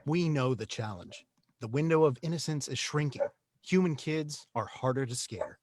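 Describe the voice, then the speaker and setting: Man acting as corporate commercial voice, earnest, promotional, measured, mid-pitched, dry recording. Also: darkish tone, dry recording, close to an everyday voice. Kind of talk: commercial narration in scripted read.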